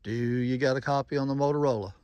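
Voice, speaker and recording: A man's voice: medium pitch, fast, darkish tone, clean tone, no room sound. A man giving casual, plain-spoken running commentary and making radio check calls in a folksy way. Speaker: vlogger testing walkie-talkies.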